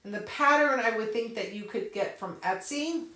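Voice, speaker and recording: A woman's voice: fairly high pitch, moderate pace, a bit bright. A woman hosting a casual craft Q&A, answering viewers' questions and thinking aloud while she demonstrates on her computer, laughing often. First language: English. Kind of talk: casual live Q&A with on-screen demonstration. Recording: roomy echo, a bit far from the mic.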